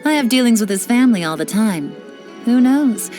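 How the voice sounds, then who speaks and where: An actress playing a cool, cunning female spy and information broker, speaking with confident, sly, measured delivery in game voice lines. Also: fairly high pitch, animated, dark tone, faint music behind, natural voice. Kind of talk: scripted character voice lines.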